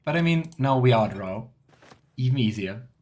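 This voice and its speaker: A man's voice: medium pitch, lively intonation, moderate pace, a little mellow. Chatty male gamer thinking aloud. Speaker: let's play host. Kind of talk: casual game commentary. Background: game music.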